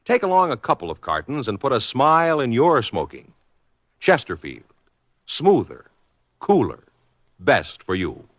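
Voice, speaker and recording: Animated male 1950s radio ad announcer. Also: measured pace, long pauses, no room sound. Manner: emphatic, polished sales pitch. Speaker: commercial announcer. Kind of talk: 1950s radio cigarette advertisement. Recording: dull, dry vintage radio recording.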